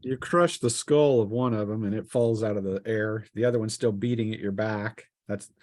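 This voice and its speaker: A man's voice: lowish voice, fairly even intonation, normal speed, a little mellow, dry recording. Man running a tabletop game, dry close sound. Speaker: game master. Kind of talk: running a tabletop role-playing session, casual narration.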